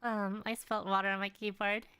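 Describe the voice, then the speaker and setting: A young woman chats casually with her viewers while streaming, pausing often with fillers. Her voice is bright and clear, with close, dry sound. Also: mid-range voice, flat delivery, no room sound. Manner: chatty, hesitant, many fillers. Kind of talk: casual live-stream chat. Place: home streaming setup.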